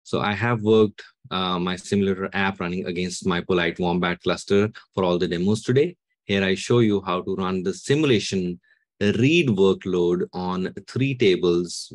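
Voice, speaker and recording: A man in his forties walking through a software demo step by step in an explanatory way. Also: low voice, dry recording. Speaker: demo presenter. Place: indoor room, webcam recording.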